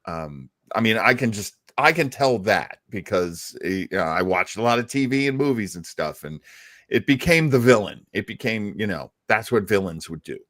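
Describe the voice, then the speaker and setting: A man with a fairly low voice hosts a casual live show. His delivery is conversational and halting, and the sound is dry. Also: lively intonation, fairly bright tone, no echo. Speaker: show host.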